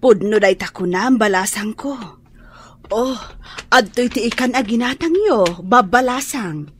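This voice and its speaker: A kindly old fish vendor. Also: female voice, fairly high pitch, lively intonation, measured pace, slight background noise. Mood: gentle. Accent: Ilocano. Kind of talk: radio drama acting.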